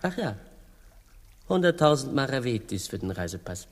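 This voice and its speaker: An actor playing a greedy, excitable Spanish king who haggles and repeats himself in a satirical radio play. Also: mid-range voice, moderate pace. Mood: excited haggling. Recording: long hall reverb.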